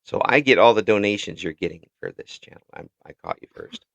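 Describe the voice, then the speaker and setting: A man's voice: fairly low pitch, expressive, brisk, creaky, fairly bright tone, dry recording, muffled recording. A middle-aged man hosting a casual interview, joking and self-mocking with frequent laughs. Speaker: interview host.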